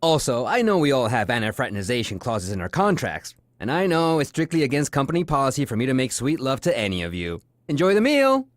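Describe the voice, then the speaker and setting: Sitcom chauvinist seducer, played by a man: florid, self-important, very animated. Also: medium pitch, quick pace, no echo, natural voice. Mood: grandly cheerful. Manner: florid, pompous, over-romantic. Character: abrasive, pompous, chauvinistic amorous office lothario. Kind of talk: audio sitcom acting.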